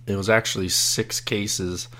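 A hoarse man hosting a live podcast, chatting casually and rambling with many fillers and restarts. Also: fairly low pitch, fairly even intonation, a little slow, a bit bright. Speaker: podcast host.

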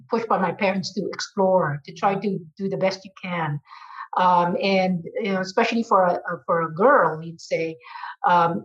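Earnest middle-aged woman, slightly muffled. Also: mid-range voice. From Philippines. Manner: earnest, emphatic, with many fillers. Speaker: guest, marketing executive. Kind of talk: reflective interview answers.

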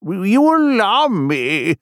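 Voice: mid-range voice, sing-song, takes it slowly, no echo, studio quality, pitched above the performer's own voice. A narrator voicing a fussy, pompous storybook king who speaks in a dramatic, plaintive way. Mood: plaintive, faint.

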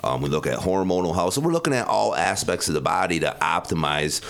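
Guy w a low creaky voice, health expert guest just explaining stuff casually in an interview. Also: sing-song, fast, fairly bright tone. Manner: informal, explanatory. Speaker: guest health expert, functional medicine director. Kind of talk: conversational interview answers.

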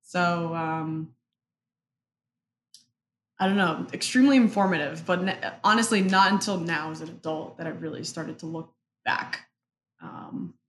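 Brief: young woman, native Spanish speaker, slightly low voice, thoughtful and halting; echoey room, faint background hiss. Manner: thoughtful, halting, many fillers. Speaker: interview guest. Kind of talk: reflective personal interview.

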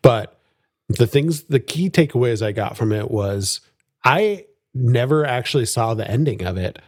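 A young man with a mid-range voice co-hosts a casual podcast, upbeat and quick to laugh. The sound is close and dry, with no room around it. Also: medium pitch, animated, darkish tone, no room sound. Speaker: podcast co-host.

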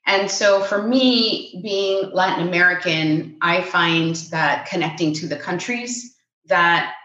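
A woman in her late fifties from Puerto Rico, with a low voice. She speaks thoughtfully and pauses often. The audio sounds roomy and a bit muffled. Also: fairly even intonation, bright, forceful tone. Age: 50s. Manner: thoughtful, hesitant with many ums. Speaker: guest consultant. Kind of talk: casual panel conversation.

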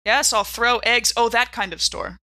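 Bright-voiced woman playing a tabletop game. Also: on the high side, moderate pace, dry recording. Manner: playful, wry. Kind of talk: casual improvised game banter.

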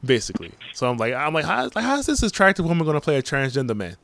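A man's voice: a little high, sing-song, rapid-fire, vocal fry, a bit bright, no room sound. A man in his forties hosting a casual podcast chat, rambling through news items with lots of hesitant ums. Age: 40s. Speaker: podcast host.

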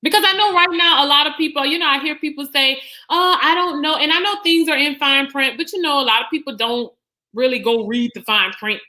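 A woman's voice: sits high, fairly quick, sharp tone. A woman moderating a candidate forum, asking questions casually with lots of fillers and summing up answers. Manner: casual, many "like" fillers, checks understanding. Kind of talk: interview questions.

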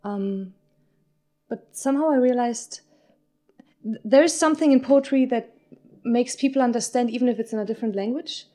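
A woman whose first language is German talks earnestly in a casual chat, reaching for words and filling the gaps with "like." Her voice sits a little high and sounds mellow and soft. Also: normal speed, pauses often. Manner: searching for words, many filler likes, earnest. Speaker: poet. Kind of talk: casual conversation.

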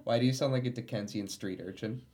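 A man's voice: mid-range voice, dark tone. Guy hosting a chatty podcast, cracking jokes and teasing his guest. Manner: joking, playfully teasing, quick to laugh. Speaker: podcast host. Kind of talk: casual comedic podcast chat.

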